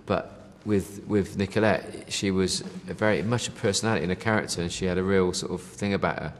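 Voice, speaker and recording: Deep voiced bloke rambling quick in an interview, lots of you know, bit of hall reverb. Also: male voice, fairly even intonation, background noise. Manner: rambling, many fillers, says "you know" and "mate". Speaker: band member interviewed. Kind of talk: casual interview chat.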